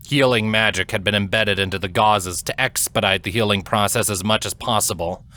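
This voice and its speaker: Guy reading a dramatic fantasy story, lowish but crisp bright voice, solemn and expressive narrator style. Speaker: audiobook-style fan fiction narrator.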